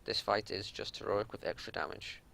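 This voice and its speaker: A man's voice: lowish voice, flat delivery, normal speed, vocal fry, bright, forceful tone. A man giving unscripted game analysis as a raid leader, explaining things in an exasperated, matter-of-fact way. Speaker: raid leader reviewing gameplay.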